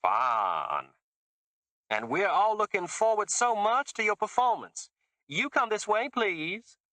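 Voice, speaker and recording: A man's voice: sits high, very animated, slow pace, bright, forceful tone, no echo, pitched above the performer's own voice, heavily acted. A man voicing a gushing, insincere female politician with a put-on Southern drawl. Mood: falsely reassuring. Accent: fake Southern US. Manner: sugary, insincere, cooing.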